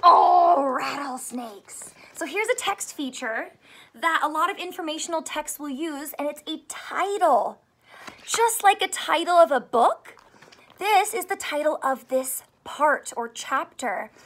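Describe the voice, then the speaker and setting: Woman in her thirties, high voice, unhurried pace, primary teacher, animated children's read-aloud, careful enunciation. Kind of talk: children's read-aloud lesson with questions to young viewers. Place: small home room with sofa and soft toys.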